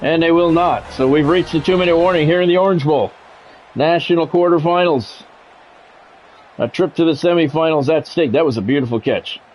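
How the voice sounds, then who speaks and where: Higher-pitched man calling football play-by-play. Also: brisk, faint music behind, rough recording. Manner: announcer-like, short clipped phrases, excited calls on big plays. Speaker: video game streamer doing play-by-play. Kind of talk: live sports play-by-play commentary of a football video game.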